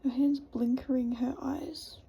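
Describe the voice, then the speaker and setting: A woman reading a story aloud in a hushed whisper. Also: high-pitched, steady tone, unhurried, vocal fry, husky.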